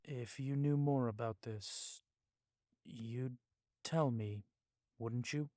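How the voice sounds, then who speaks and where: An actor playing a stern, cautious vampire police chief in an audio drama, speaking gravely with some Spanish words mixed in. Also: male voice, medium pitch, slow pace, warm tone, dry recording, studio quality, lightly acted. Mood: measured, watchful.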